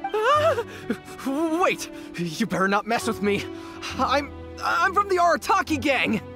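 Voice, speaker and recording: Flustered, animated young boy. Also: high voice, measured pace, exaggerated voice. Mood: frightened. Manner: flustered, stammering. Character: timid, boastful young boy, a small gang hanger-on. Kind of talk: video game voice acting. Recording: clear music behind the voice.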